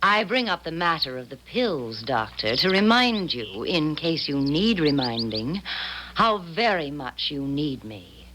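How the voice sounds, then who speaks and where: An actress playing a cool, manipulative blackmailer in a 1970s radio drama, deliberate and taunting in manner. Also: female voice, low-pitched, sing-song, noisy background. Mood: veiled threat.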